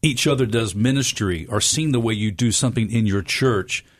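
A man's voice: lowish voice, moderate pace. A man hosting an interview show, asking long, conversational questions. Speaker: host. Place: studio room.

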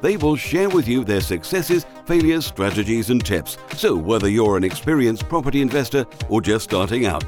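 A man's voice: medium pitch, a little mellow. Announcer man over faint music. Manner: promotional, upbeat delivery. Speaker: podcast announcer. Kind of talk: scripted intro voice-over.

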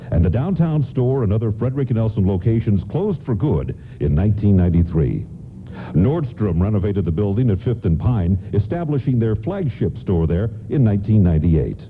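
Man, radio announcer, scripted narration, lively intonation, narrow tinny broadcast sound. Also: fairly low pitch, slight background noise. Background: prominent music.

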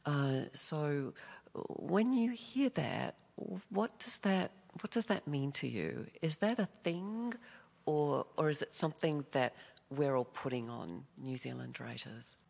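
A woman interviewer with an extremely low, gravelly voice puts measured questions to her guest. She prompts gently and pauses on fillers as she goes. A long reverb trails behind her words. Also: expressive.

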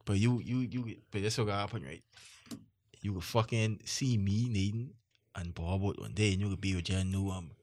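A man's voice: low voice, a little mellow. A man co-hosting a podcast, joking and swearing in casual banter with friends. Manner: teasing, swears freely, quick comic complaints. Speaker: podcast co-host.

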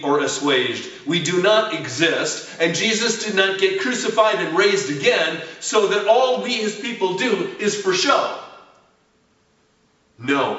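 A male pastor delivers a sermon in a bright, forceful voice that rises and falls widely. His phrasing is deliberate and emphatic, broken by dramatic pauses. The recording is roomy and echoing, with the mic at some distance. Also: on the high side. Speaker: pastor preaching. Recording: roomy echo, distant mic, slightly muffled.

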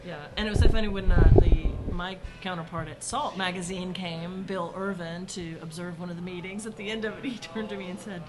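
A woman's voice: on the low side, steady tone, a little fast, hardly any pauses, loud music behind the voice, poor audio. A woman, a senior editor guesting on a casual podcast, making dry wordplay jokes. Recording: loud background noise.

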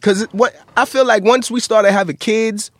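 A man's voice: sits high, steady tone, moderate pace, fairly bright tone, dry recording. A man with a Brooklyn accent, a guest rapper chatting in a laid-back, slangy way. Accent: New York (Brooklyn). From Brooklyn, New York. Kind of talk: casual interview chat.

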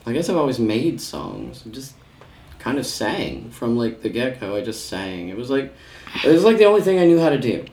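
A woman's voice: extremely low pitch, big pitch swings, normal speed, dark tone, a little hiss or hum, exaggerated voice. Actress as rambling, self-doubting middle-aged woman. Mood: wry, casual. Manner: rambling, hesitant, self-deprecating. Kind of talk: naturalistic comedy radio play acting.

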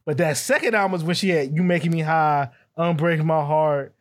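A man co-hosting a casual music podcast, animated and laughing often as he acts out quotes. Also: on the high side, brisk. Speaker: podcast co-host. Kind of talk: casual chat.

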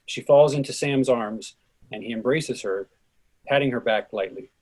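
A man's voice: medium pitch, moderate pace, a little mellow, slightly rough, low-quality recording. A man narrating scripted stage directions for a play reading.

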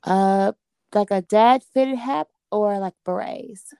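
A woman hosts a casual interview in a relaxed, friendly way. Her voice is mid-pitched and strongly gravelly with fry. The recording is dry and close. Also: slow pace, no room sound. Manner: relaxed, friendly, loose with fillers. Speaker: host, interviewer.

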